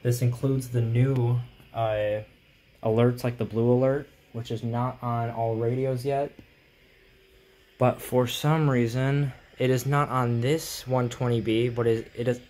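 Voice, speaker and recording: A man giving an informal tech tutorial in a halting, explanatory way, recorded on a handheld phone in a room at home. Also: medium pitch, flat delivery, mellow. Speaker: hobbyist video host. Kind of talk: casual tech tutorial vlog. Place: small indoor room at home.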